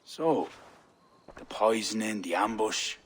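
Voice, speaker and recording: A man playing a pious, commanding medieval Irish high king in a video game, solemn and declamatory. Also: expressive, slow, breathy edge, exaggerated voice. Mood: righteous, stern. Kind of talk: video game cutscene acting.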